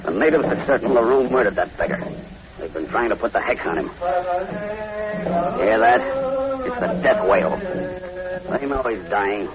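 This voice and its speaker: An actor playing a grim, uneasy plantation manager in a 1940s radio drama, speaking earnestly and with foreboding. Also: male voice, mid-range voice, sing-song, moderate pace, creaky, pauses often. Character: grim, uneasy male plantation manager, long-time islander. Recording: muffled, very noisy old radio recording.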